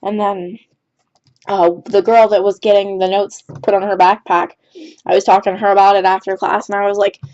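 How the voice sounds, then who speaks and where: A teenage girl telling a story in a loose, chatty way, full of fillers, restarts and little "right?" checks. Her voice sits at an ordinary middle pitch for a woman. The recording is a bit dull and lo-fi, like a cheap home desk mic. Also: little pitch movement, a little fast, a little mellow. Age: teens. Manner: rambling, filler-heavy, restarts often with "like" and "right?". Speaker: gaming YouTuber. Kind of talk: casual storytime chat over gameplay.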